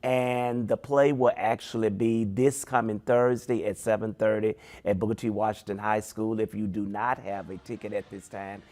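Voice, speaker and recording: A man's voice: on the low side, steady tone, moderate pace, hardly any pauses, a little mellow. An American man, a stage play director, giving conversational event announcements as an interview guest.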